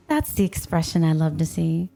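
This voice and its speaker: A woman's voice: on the low side, creaky, warm tone, heavily acted. A woman playing a playful, possessive snow spirit in an ASMR roleplay, coaxing and teasing the listener with coy, intimate talk. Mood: sly, flirtatious. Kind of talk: ASMR roleplay, scripted, intimate one-sided dialogue.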